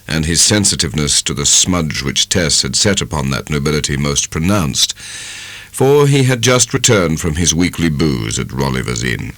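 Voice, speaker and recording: A man giving an expressive, measured narration of a literary audiobook. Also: low voice, no echo.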